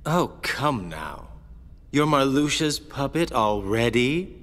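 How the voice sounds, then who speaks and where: An actor playing a sly, cocky villain in a video game, sardonic and teasing, toying with phrases. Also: male voice, mid-range voice, expressive, slow, fairly bright tone. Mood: taunting. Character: Axel, sly cocky mocking male villain. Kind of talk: video game cutscene acting. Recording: long reverb, music behind.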